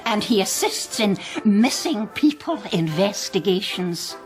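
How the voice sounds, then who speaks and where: A woman's voice: medium pitch, expressive, a little slow, over music, natural voice. An older woman with a Scottish accent playing a proud, genteel, talkative mother in a radio drama. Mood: proud, chatty. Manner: genteel, chatty, boastful. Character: proud, talkative mother of a grown psychic son. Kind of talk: naturalistic radio drama acting.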